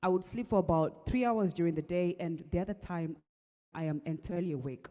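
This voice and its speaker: A young Kenyan woman with a husky voice, earnestly telling her personal story at an awareness event, with halting restarts. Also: fairly low pitch, mellow. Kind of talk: personal testimony talk. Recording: long hall reverb.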